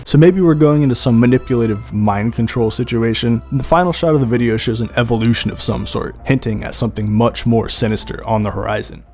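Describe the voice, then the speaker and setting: A man's voice: mid-range voice, fast, hardly any pauses, darkish tone. A man giving horror video commentary in a storytelling way, with casual asides. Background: background music.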